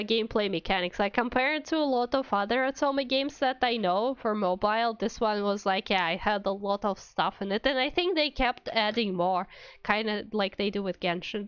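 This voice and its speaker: A woman's voice: a little high, expressive, moderate pace, a bit bright, clean tone, no echo, dull audio. A woman streaming a game, chatting casually and musing aloud, trailing off mid-thought. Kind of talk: casual livestream chat over a game.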